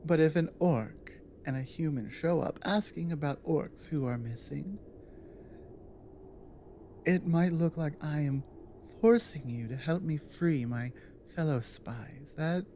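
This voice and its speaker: A woman playing a big, earnest, protective orc warrior in an intimate ASMR audio drama, speaking low in a halting, thoughtful way. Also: warm tone, a little hiss or hum. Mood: conflicted, anxious. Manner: halting, deliberate, earnest delivery with pauses.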